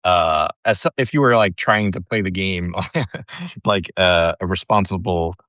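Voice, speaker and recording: Very deep-voiced wry podcast host. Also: male voice, measured pace, fairly bright tone, no room sound, clean studio sound. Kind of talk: casual interview chat.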